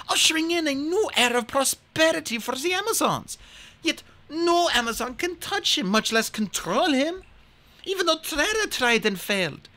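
A woman voicing a proud, calculating Amazon queen in a storybook reading, speaking in a measured, commanding way. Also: high-pitched, pushed and bright, pitched above the performer's own voice.

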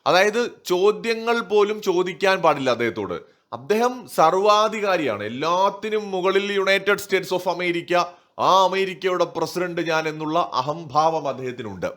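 A man's voice: high voice, a little slow, fairly bright tone. A man giving opinionated political commentary in an emphatic, rhetorical manner. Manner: emphatic, rhetorical, asking and answering own questions. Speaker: online news commentator.

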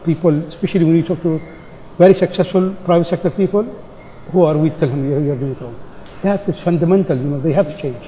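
A man's voice: a little high, fast talker, warm tone, big-room sound, slight background noise, low-quality recording. A man making an emphatic, insistent point on a business conference panel. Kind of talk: panel discussion. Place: large conference hall stage.

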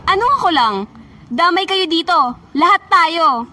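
A woman's voice: very high-pitched, lively intonation, moderate pace, pushed and bright, slight background noise, full character voice. A young woman playing a cold, menacing schoolgirl ringleader who sneers and threatens in a student radio drama. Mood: angry, accusing. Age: young adult.

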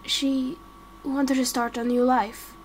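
A woman voicing a gentle, hesitant, protective young father in an amateur game roleplay. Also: high-pitched, little pitch movement, takes it slowly, slight background noise.

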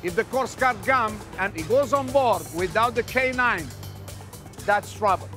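A man's voice: sits high, animated, unhurried, sharp tone. A man working as a provisions manager, explaining and giving instructions on the job in blunt, short phrases. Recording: location sound with music underneath. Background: music.

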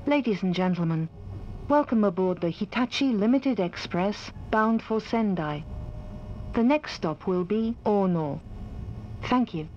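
Female onboard announcer, slow and formal, medium pitch, polite scripted read, slightly dull recording. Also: animated, a little mellow. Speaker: train announcer. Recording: dull sound with faint background noise.

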